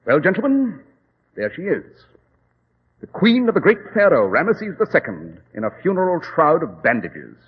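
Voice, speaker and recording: A man's voice: on the high side, wildly swooping pitch, moderate pace, slightly rough, full character voice. A male actor playing a coolly logical English detective, precise and authoritative, in a 1940s radio drama. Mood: logical, commanding. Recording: tinny, band-limited old radio recording.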